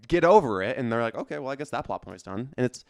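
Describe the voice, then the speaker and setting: A man with a clean, smooth voice, recorded close and dry, chats as a podcast co-host. He is opinionated and emphatic, speaking in loose slang full of fillers. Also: medium pitch, lively intonation, fast talker, a little mellow, no room sound. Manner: emphatic, slangy, peppers speech with "like". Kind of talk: casual, opinionated pop culture chat.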